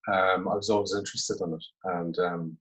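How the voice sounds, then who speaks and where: A man in his forties from Cork, his Irish accent worn soft by years abroad. He talks low and easygoing, musing aloud with frequent little asides as he looks back on his career. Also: level tone, brisk, low-quality recording. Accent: Irish, softened by years in London. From Ireland, Cork. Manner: reflective, easygoing, many "I suppose" asides. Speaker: actor, guest. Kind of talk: casual interview over video call.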